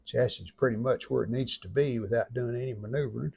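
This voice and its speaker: A man's voice: medium pitch, fairly even intonation, fast talker, gravelly, darkish tone, a little hiss or hum. A man talking casually through hands-on restoration work, in short, plain-spoken remarks as he goes. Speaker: vlogger restoring an antique radio. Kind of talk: casual hands-on workshop narration.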